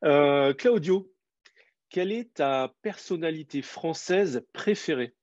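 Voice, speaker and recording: A man who teaches French, hosting an interview and asking quiz questions clearly and encouragingly. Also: a little high, animated, slow, darkish tone, no echo, muffled recording. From France.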